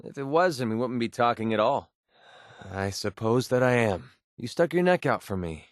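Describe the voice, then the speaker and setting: An actor playing a wisecracking, guarded young mercenary with an American accent and a colloquial, sarcastic manner in a video game. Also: male voice, mid-range voice, animated, moderate pace, no room sound, clean studio sound, natural voice. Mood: earnest, vulnerable. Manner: sarcastic, colloquial, hesitant when sincere. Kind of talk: scripted game dialogue.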